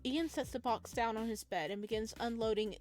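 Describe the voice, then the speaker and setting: A woman with a fairly high voice hosts a let's play, reading narration aloud. She breaks into expressive, casual commentary as she goes. Soft music plays behind her. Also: fairly quick. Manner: expressive, chatty asides.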